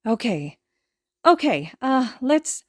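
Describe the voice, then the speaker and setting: A woman voicing a doting, anxious mother in a story reading, fussing and halting as she talks. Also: fairly high pitch, very animated, dry recording, clean studio sound, pitched above the performer's own voice, exaggerated voice. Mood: nervous, flustered.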